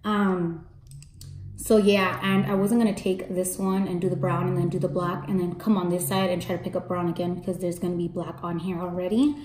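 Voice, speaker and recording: A young woman in her twenties, with a slightly raspy voice that is deep for a woman, chatting casually and candidly to her viewers while doing her makeup in a room at home. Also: flat delivery, brisk, roomy sound, a crowd in the background. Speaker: makeup artist and beauty vlogger. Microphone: camera in front, face framed close.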